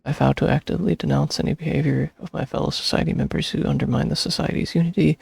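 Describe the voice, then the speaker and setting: A man's voice: a little high, steady tone, fast talker, creaky, darkish tone, slightly rough, no room sound. A man streaming a video game, giving casual commentary and reading game text on a close microphone. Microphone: close streaming microphone, dry. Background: game music.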